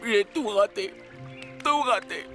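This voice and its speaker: A high-pitched cartoon frog prince, courtly and self-pitying. He speaks in formal, theatrical phrases, with music clearly playing behind him. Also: slow. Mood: despairing. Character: despairing enchanted prince frog, courtly and melodramatic. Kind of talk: French cartoon dubbing.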